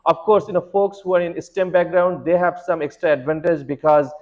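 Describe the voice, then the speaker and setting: A middle-aged man speaks in a somewhat high voice, explaining immigration steps with earnest repetition and frequent restarts. The recording is dull and lo-fi. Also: steady tone, a little fast, darkish tone. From Bangladesh. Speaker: immigration lawyer. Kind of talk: advice talk to students.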